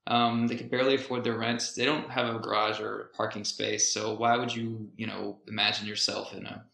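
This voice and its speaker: A man co-hosting a podcast, telling stories casually in an animated, jokey, sometimes profane way. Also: fairly low pitch, brisk, gravelly. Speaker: podcast co-host. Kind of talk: casual conversational storytelling. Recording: roomy echo.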